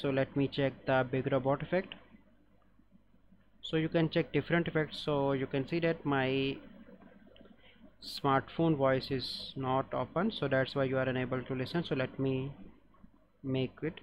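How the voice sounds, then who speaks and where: A man's voice: medium pitch, fairly even intonation, moderate pace. A man hosting a how-to app tutorial as a voice-over, giving simple step-by-step instructions. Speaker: tutorial host. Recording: dull lo-fi audio, noisy background.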